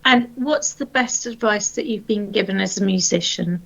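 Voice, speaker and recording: Bright-voiced woman interviewing, slightly muffled. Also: mid-range voice, normal speed, gravelly. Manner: encouraging. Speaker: podcast interviewer. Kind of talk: conversational interview.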